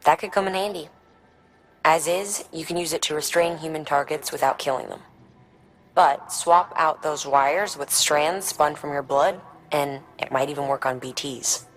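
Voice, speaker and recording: An actress playing a cool, confident engineer in a video game, explaining things in a casual, assured way. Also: female voice, low-pitched, normal speed, lightly acted. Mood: assured, intrigued. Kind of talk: video game cutscene dialogue.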